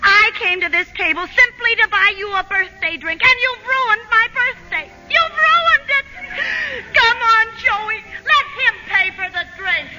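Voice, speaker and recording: A woman acting an overwrought, aggrieved woman in a 1940s radio comedy, shrill and wailing. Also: lively intonation, unhurried, sharp tone, exaggerated voice, rough recording. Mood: wailing outrage.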